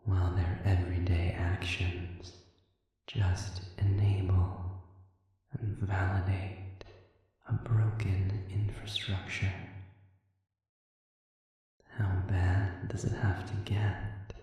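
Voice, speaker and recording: Slow, low, echoing male demon acted by a man. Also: little pitch movement, warm tone. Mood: cool, accusing. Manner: measured, deliberate, insinuating, with rhetorical questions and pauses. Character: sadistic demon prisoner, calm, manipulative, silkily taunting male. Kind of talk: scripted audio roleplay monologue. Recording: distant, reverberant, echoing chamber sound.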